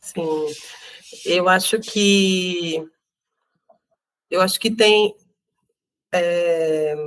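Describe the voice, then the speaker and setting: A woman with a Northeastern Brazilian accent, an interview guest speaking thoughtfully with many pauses and tag questions. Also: medium pitch, no echo. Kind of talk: reflective interview talk.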